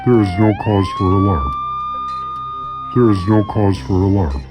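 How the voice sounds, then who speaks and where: A man playing a calm, impassive robot on an airliner, giving repetitive announcements in an audio drama. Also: on the low side, unhurried, over music, close to an everyday voice.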